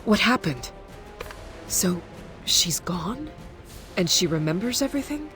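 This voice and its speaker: An actress playing a concerned, plain-spoken ally in a fantasy video game, expressive and direct. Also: female voice, fairly low pitch, a little slow. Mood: concerned, shocked. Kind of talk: scripted game dialogue. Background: game music.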